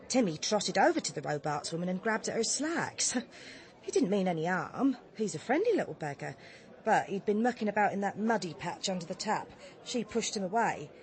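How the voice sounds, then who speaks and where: A woman's voice: medium pitch, close to an everyday voice. An actress playing a blunt, chatty English village woman in a radio drama, telling her account in colloquial, indignant style. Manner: colloquial, gossipy, indignant storytelling.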